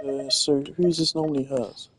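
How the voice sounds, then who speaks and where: A man chats casually as he plays, thinking aloud in a rambling way. His voice sits at an average pitch, with faint music playing underneath. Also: steady tone, normal speed. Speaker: gamer. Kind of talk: casual gameplay commentary.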